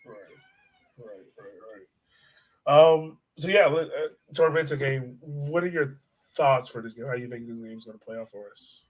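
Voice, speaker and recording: Male podcast co-host, medium pitch, informal soccer talk, emphatic and repeating himself for stress. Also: moderate pace. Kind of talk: casual sports discussion.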